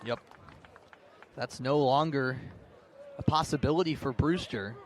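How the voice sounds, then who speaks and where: A man doing live baseball play-by-play commentary, narrating pitch by pitch in short, clipped phrases. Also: a little slow. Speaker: play-by-play announcer. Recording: clear outdoor ballpark background noise. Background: outdoor ambient noise.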